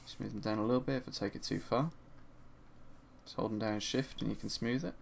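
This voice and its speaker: Hoarse gravelly man narrating a tutorial. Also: on the low side, brisk, slight background noise. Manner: relaxed and chatty, with frequent ums and uhs. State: slightly hoarse from a sore throat. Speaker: 3D art instructor. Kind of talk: screen-recorded software tutorial narration. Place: at his desk, voice-over for a screen recording.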